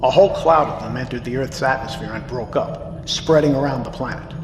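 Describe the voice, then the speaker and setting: An actor playing a steady, grave ship's captain, addressing his crew in a measured, authoritative way in an audio drama. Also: medium pitch, reverberant, long reverb tail, music in the background, lightly acted. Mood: grave, composed. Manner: measured, authoritative, plain-spoken. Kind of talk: scripted audio drama, formal announcement.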